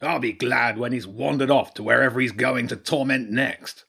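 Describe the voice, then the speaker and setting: A hulking, gruff badger king from a storybook voiced by a man narrating, scornful and quick to threaten. He spits out his words with open menace. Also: medium pitch, steady tone, sharp tone, full character voice. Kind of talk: audiobook character voice.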